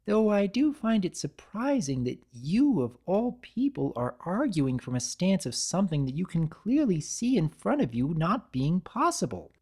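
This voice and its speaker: A woman's voice: on the low side, big pitch swings, normal speed, few pauses, warm tone, breathy edge, voice pushed up. A woman voicing a thoughtful, level-headed young female cartoon pony in a story reading, with precise, reasoning delivery. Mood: puzzled, skeptical.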